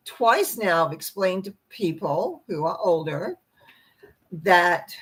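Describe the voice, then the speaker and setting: Hoarse, croaky older woman. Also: medium pitch, rough recording. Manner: rambling, folksy explaining with asides. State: hoarse after a treatment, clearing throat. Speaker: host, tarot reader and spiritual teacher. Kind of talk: informal spiritual talk to camera.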